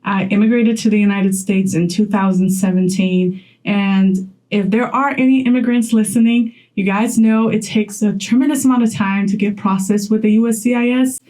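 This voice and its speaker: A woman's voice: mid-range voice, fairly even intonation, normal speed, dark tone, low-quality recording. A young woman from Poland talking straight to camera in a chatty vlog, laughing often as she gives advice. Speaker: content creator.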